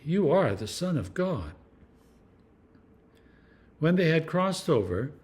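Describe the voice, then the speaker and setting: Male scripture reader, clergyman, mid-pitched voice with animated intonation, measured dramatic storytelling. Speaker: clergyman reading scripture for a church meditation series. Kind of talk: expressive scripture reading.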